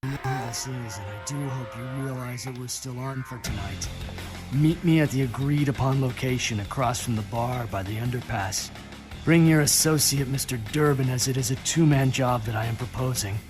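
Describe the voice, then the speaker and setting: A man's voice: medium pitch, normal speed, music in the background, natural voice. A man playing a courteous but aloof, businesslike schemer, speaking formally in a video game. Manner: formal, clipped, precise.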